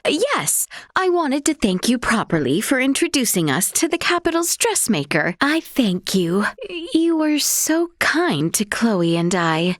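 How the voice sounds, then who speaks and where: A high, expressively melodic young noblewoman from a fantasy game, gracious and earnest. She speaks slowly and formally, with courtly politeness and old-fashioned phrasing. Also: dry recording, clean studio sound. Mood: courteous. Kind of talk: video game voice acting.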